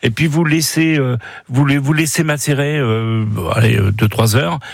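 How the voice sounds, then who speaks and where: A man who is a guest on a radio show, explaining recipes in a relaxed way with lots of hesitations and restarts. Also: mid-range voice, normal speed, gravelly, no echo, clean studio sound. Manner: hesitant, many fillers and restarts, friendly explaining. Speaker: studio guest giving recipes. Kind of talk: casual radio chat.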